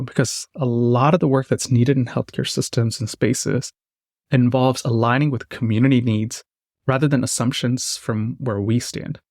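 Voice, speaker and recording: A man's voice: medium pitch, no echo, studio quality. A man hosting a podcast, explaining in a scripted, presenterly way and posing questions. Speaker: podcast host. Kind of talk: scripted narration and interviewing.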